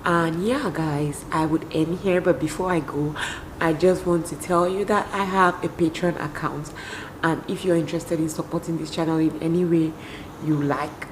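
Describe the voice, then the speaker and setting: A woman talks to her vlog viewers in a hoarse, worn-out voice, relaxed and chatty. Her voice sits a little low, and a clear hum of background noise runs under the recording. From Cameroon. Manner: tired, talking to viewers as "guys". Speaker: lifestyle vlogger. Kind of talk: casual vlog chat.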